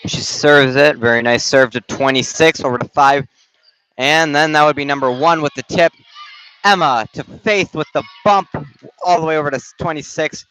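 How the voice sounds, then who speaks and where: Young man calling volleyball, smooth yet slightly raspy. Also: a little high, fairly bright tone. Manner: casual, halting play-by-play with restarts. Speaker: volleyball play-by-play announcer. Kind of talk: amateur sports commentary. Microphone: headset. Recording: dull, dry gym-stream audio.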